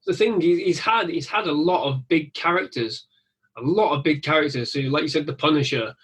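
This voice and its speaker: A man's voice: on the high side, fairly quick, a bit bright, husky. A man chatting casually on a podcast about action films, swearing freely. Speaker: podcast guest. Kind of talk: casual film chat.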